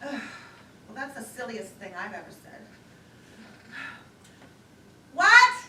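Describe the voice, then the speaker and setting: High-voiced nervous chatterbox woman, acted on stage. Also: huge pitch swings, moderate pace. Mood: confused, eager. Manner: rambling, self-conscious, giggly, theatrical. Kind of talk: live one-act stage play monologue. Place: lakeside theater stage, recorded from afar. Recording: distant, echoey, noisy live theater recording.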